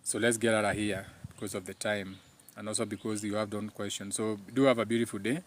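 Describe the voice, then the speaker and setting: A man's voice: fairly low pitch, steady tone, quick pace, slight background noise. A man with a Kenyan accent and Kikuyu as his first language, speaking informally as the host of a live talk, emphatic and preachy, often repeating his phrases.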